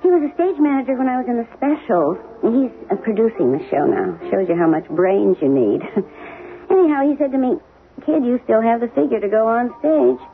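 A woman's voice: fairly high pitch, expressive, normal speed, creaky. A woman playing a chatty, wry, nagging middle-aged wife in a 1970s radio drama. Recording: dull lo-fi 1970s radio recording, faint music.

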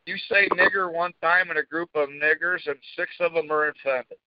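Higher-voiced, coarse man chatting on a podcast. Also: fairly even intonation, normal speed, no echo. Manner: coarse, profane, blunt. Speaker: podcast co-host. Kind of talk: casual chat. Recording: dull, dry lo-fi audio.

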